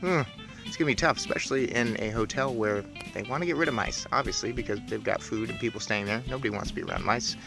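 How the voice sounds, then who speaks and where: A woman reading a children's story aloud in an expressive narrating style. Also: rumbling low, fast talker, vocal fry, music in the background. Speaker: story reader.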